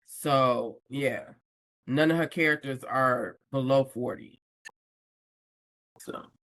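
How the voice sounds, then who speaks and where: A woman's voice: extremely low pitch, slow pace, dry recording. A woman guest on a podcast, talking candidly in casual chat and telling stories with plenty of slang. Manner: candid, slang-laced storytelling. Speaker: podcast guest, book influencer. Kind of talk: casual conversation.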